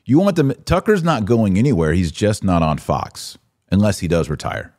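A man's voice: deep voice, big pitch swings, moderate pace, a little mellow, no echo, studio quality. An American man hosting a live podcast, chatting casually and informally as he responds to listener comments. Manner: informal slangy talk. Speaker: podcast host.

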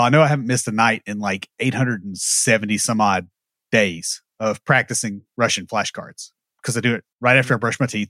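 A man's voice: mid-range voice, sharp tone, no room sound. A man co-hosting a casual podcast conversation, explaining ideas thoughtfully with occasional dry asides. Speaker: podcast co-host.

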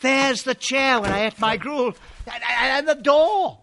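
An actor playing an elderly English former miser, giddy and overjoyed, in an excitable, stammering, theatrical radio drama style. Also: male voice, very high-pitched, a little slow, gravelly, a little hiss or hum, full character voice. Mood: delirious joy. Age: older.

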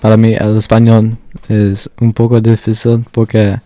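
A teenage boy, a student, speaking hesitantly in a group discussion, halting with "um". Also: fairly low pitch, monotone, warm tone, dry recording.

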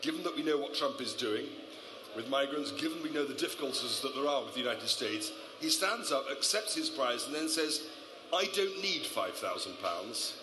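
A man hosting a live festival stage interview, talking loudly and chattily and apologizing for the microphone trouble. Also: medium pitch, expressive, normal speed. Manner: loud, chatty, apologetic about mic trouble. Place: stage at a travel writers festival. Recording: roomy hall with background noise.

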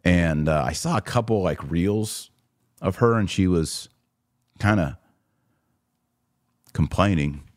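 A man's voice: low-pitched, lively intonation, takes it slowly, pauses often, no echo, studio quality. An American man in his forties hosting a podcast, chatting casually and speaking straight to his listeners as he answers comments. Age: 40s. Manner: conversational, addresses listeners directly. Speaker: podcast host.

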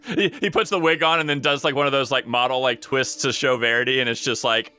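A man's voice: medium pitch, steady tone, quick pace, pushed and bright, clear, resonant tone, soft background music. A man chatting casually as a player in a tabletop game, with a playful, jokey manner.

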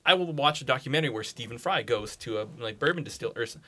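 A man with a bright, forward voice talks casually as a podcast guest. He is emphatic and opinionated, rambling through stories and swearing freely. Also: medium pitch, animated, quick pace. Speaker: podcast guest, comics blogger.

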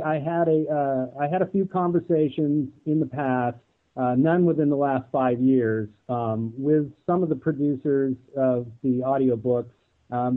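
A man giving casual, thoughtful interview answers as a guest, speaking haltingly over an internet call. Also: medium pitch. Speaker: interview guest, audio drama producer. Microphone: remote audio call. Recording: thin, phone-like internet call.

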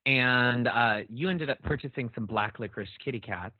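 A man co-hosting a podcast in casual chat, rambling and halting with many fillers. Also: mid-range voice, sharp tone, no echo, rough recording. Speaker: podcast co-host.

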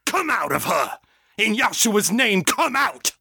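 A booming male preacher casting out a demon, his voice raised high and loud, ringing a little back from the mic, as a male narrator puts him on. He commands in a forceful, bright tone with wide swings of pitch. It is a storybook reading with a dry sound. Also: lively intonation, a little slow, vocal fry, breathy edge, dry recording, heavily acted. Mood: thundering. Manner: thundering, commanding. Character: bold male preacher staging an exorcism.

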